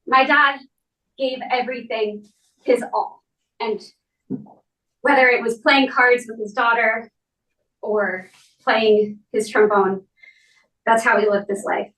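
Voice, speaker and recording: A woman speaking at a memorial, reading and talking in measured, deliberate phrases with pauses. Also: fairly high pitch, steady tone, sharp tone. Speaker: speaker giving a eulogy for their parent. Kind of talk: memorial speech and poem reading. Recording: roomy echo, distant mic.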